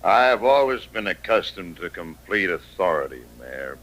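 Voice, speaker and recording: Steely, arrogant gunslinger for radio western; very low voice, clipped and menacing lines. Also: male voice, big pitch swings, moderate pace, fairly bright tone. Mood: cold, commanding. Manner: terse, coolly menacing. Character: cold, arrogant hired gunman turned western sheriff, 38. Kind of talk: old-time radio western acting.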